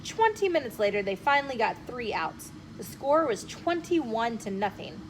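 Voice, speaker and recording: A woman reading a children's story aloud in an animated, expressive way, addressing young listeners directly. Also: fairly high pitch, normal speed, poor audio. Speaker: storyteller host. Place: bookshop, with ambient noise. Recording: clear background noise.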